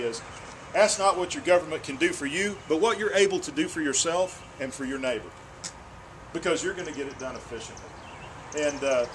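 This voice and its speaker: An earnest man speaks at a town hall, in a slightly raised register. He often repeats words when he restarts a sentence. A faint hiss of noise sits under his voice. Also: fairly high pitch. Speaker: state senator.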